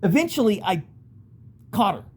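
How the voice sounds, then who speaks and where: A man's voice: sits high, sing-song, moderate pace. American man rambling candidly, noisy background. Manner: rambling, candid, street-slang speech. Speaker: storyteller, channel host. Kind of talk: casual first-person storytelling monologue.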